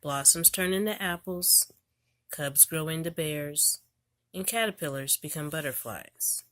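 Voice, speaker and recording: A woman with a low voice reads aloud from an educational picture book for children. Her phrasing is deliberate, in the way of someone telling a storybook to young learners. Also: fairly bright tone. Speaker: homeschool parent reading a book aloud.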